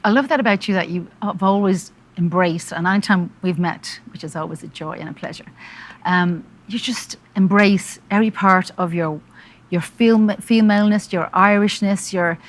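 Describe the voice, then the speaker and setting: A woman interviewing a guest, asking questions in a gentle, admiring, unhurried way. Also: medium pitch.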